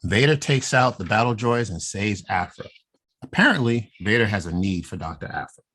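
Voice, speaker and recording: Husky low-voiced man co-hosting a podcast. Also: big pitch swings, normal speed, sharp tone. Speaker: podcast co-host. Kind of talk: casual podcast chat and read recap.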